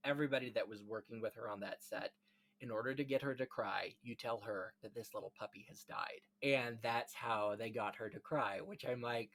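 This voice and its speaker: A man's voice: medium pitch, fairly bright tone, rough recording. Chatty, emphatic male podcast host. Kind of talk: theatrical scripted intro, then casual film chat.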